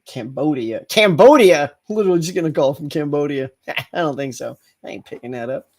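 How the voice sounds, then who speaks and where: A man's voice: a little high, animated, a little fast, darkish tone. Chatty, slightly congested man host. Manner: rambling, chatty, lots of fillers and restarts. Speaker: host of a live morning chat show. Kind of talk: casual live-stream chat with viewers.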